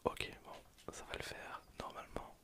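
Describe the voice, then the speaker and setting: ASMR game streamer: fully whispered, soft, casual delivery, thinking aloud while playing. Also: a little slow. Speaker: ASMR gaming video creator. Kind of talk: whispered ASMR gameplay commentary.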